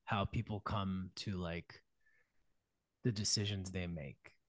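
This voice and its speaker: A man's voice: low voice, fairly even intonation, slow, no room sound. A man speaking as a guest on a podcast, easygoing and reflective, with lots of fillers. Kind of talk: casual podcast conversation.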